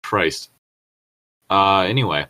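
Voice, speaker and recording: A man's voice: low-pitched, animated, slow, pushed and bright. A man co-hosting a story read-along, moving between reading aloud and irreverent, sweary, digressive joking asides. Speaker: co-host reading and commenting on stories.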